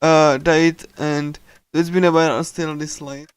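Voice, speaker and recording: Male game streamer, halting casual read-aloud, smooth slightly high voice, close dry sound. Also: flat delivery, no echo. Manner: hesitant, halting, with small chuckles. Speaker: streamer reading a visual novel aloud.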